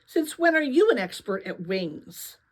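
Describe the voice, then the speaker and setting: A woman's voice: a little high, sing-song, unhurried. Storybook role: irritable, proud teen boy, indignant and grumbling, read by a woman. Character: stubborn, irritable teenage boy, defensive and proud.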